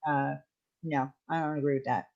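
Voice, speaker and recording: Woman, livestream host, lowish voice, casual chat with viewers, slightly dull audio. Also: fairly even intonation, normal speed, darkish tone.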